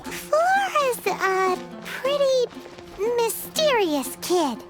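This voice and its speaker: Tiny excitable game fairy, very high squeaky child voice, drawn-out delivery, music behind. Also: female voice, exaggerated voice. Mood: pondering. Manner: refers to self in third person, exclamatory. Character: tiny excitable floating fairy girl companion. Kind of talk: video game voice acting.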